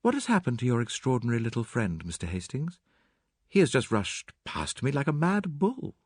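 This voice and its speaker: A man's voice: medium pitch, wildly swooping pitch, normal speed, breathy edge, no room sound, studio quality, voice pushed up. A man voicing a poised, enigmatic woman with a wry, understated manner in an audiobook. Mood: puzzled. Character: cool, enigmatic upper-class English woman, quietly teasing. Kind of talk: dramatised audiobook reading.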